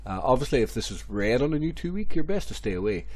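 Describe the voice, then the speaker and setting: A man, hoarse and stuffy from a cold, rambling through crypto chart analysis with many repeats and fillers. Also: medium pitch, very animated, fast talker, darkish tone. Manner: rambling, repeats phrases, many ums and restarts. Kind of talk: casual screen-share market commentary.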